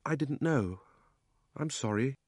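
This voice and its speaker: A man voicing a curious, teasing young girl in a storybook, eager and quick to retort. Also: a little high, wildly swooping pitch, a little mellow, husky, no room sound, studio quality, pitched above the performer's own voice, full character voice. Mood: humbly apologetic. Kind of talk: storybook character reading.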